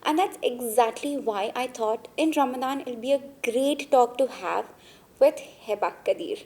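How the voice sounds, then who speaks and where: A woman's voice: on the high side, normal speed, darkish tone. A woman hosting a podcast, chatting casually with a guest and switching between English and Hindi. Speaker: podcast host. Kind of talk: casual interview chat.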